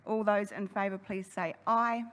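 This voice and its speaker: A woman chairing a council hearing, running through procedure in a brisk, friendly way. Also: mid-range voice, fairly even intonation, fairly bright tone, a little hiss or hum. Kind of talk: formal meeting chairing. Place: council chamber.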